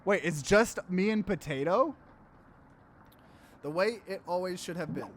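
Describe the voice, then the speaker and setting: A man with a slightly high voice streams a visual novel. He reads narration aloud, then breaks into casual asides. There is faint noise behind him. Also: animated, unhurried. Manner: switches between reading aloud and offhand commentary. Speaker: streamer, let's player.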